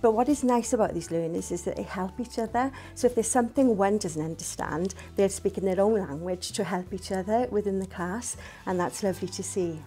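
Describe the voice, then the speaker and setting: Mellow-voiced woman Welsh teacher. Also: mid-range voice, fairly quick, hardly any pauses, husky, faint music behind. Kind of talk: explaining to camera.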